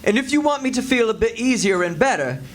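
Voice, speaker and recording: A man's voice: very high-pitched, sing-song, fast talker. A man playing an older sly con man posing as an exiled French king, grandiose, folksy and mock-mournful in live radio theatre. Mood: haughty. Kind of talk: live old-time radio theatre acting. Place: live stage before an audience. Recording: live stage, faint background noise.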